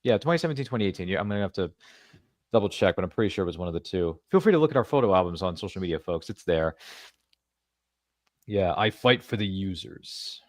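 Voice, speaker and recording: A man's voice: sits low, animated, fast, dry recording, studio quality. A man hosting a podcast interview, chatting casually and rambling with playful asides. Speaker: podcast host.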